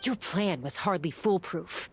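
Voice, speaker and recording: A woman's voice: fairly low pitch, unhurried, soft background music. A woman playing a principled, steely young heroine in a video game, speaking firmly and challengingly. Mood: dry, defiant. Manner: firm, challenging, resolute. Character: defiant, principled young heroine, steely protector of her kin. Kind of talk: dramatic video game voice acting.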